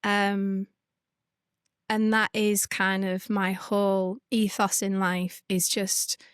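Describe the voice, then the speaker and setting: A woman with a Yorkshire accent and a husky, worn voice, chatting as a podcast guest and laughing often. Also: mid-range voice, little pitch movement, slow, no room sound, studio quality. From Northern England. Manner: laughs often, self-deprecating. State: husky from overuse. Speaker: guest, events business founder. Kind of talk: casual podcast conversation.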